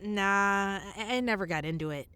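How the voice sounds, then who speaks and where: A woman playing an earnest, slightly awkward young woman, halting and self-effacing, in a naturalistic audio drama. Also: medium pitch, sharp tone, smooth, lightly acted.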